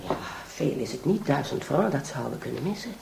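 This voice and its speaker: An actress playing a scheming, ambitious wife in a radio drama, coaxing and insistent. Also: female voice, very deep voice, animated, fairly quick, creaky, a little mellow, a little hiss or hum, heavily acted. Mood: calculating. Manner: coaxing, insistent, feigned concern. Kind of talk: Dutch radio drama acting.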